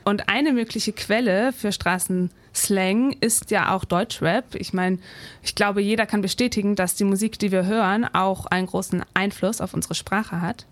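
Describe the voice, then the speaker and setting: A woman co-hosting a campus radio show, presenting topics in a calm, casual way. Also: mid-range voice, moderate pace, no echo.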